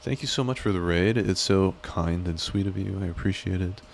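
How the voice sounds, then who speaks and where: A man chats on a live stream, wandering from joke to joke and teasing himself along the way. His voice sits very low. A faint hiss runs under the recording. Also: normal speed, gravelly. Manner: rambling, joking, self-deprecating. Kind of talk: casual live-stream chat.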